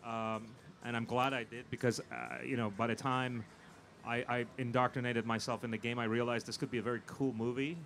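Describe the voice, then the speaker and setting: A man's voice: mid-range voice, flat delivery, fairly quick, a bit bright. A man with a mid-range voice speaks as a producer guest in a panel interview. He is emphatic and conversational, doubling back on words to press a point. Light event noise sits behind him. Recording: live event floor with background noise.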